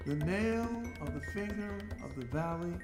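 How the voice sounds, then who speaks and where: A woman's voice: lowish voice, animated, moderate pace. A woman performing poetic spoken word in a declamatory way, half chanting at times and repeating phrases. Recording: echoey room with loud music behind. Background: loud live instrumental music.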